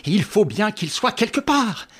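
A stubborn veteran police inspector, as an audiobook narrator puts him on, raising the voice well above the narration and pushing it hard. He stammers and insists, with his words tumbling out in agitated bursts. The tone stays husky throughout. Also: medium pitch, big pitch swings, normal speed, fairly bright tone, pitched above the performer's own voice.

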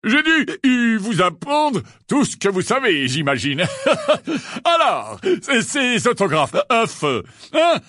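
A man's voice: high voice, huge pitch swings, takes it slowly, pushed and bright, no echo, clean studio sound, voice pushed up, exaggerated voice. A man voicing a vain, befuddled wizard professor, exuberant and airy, stumbling over and repeating his words. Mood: smug. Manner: hesitant, repetitive, chuckling, airy.

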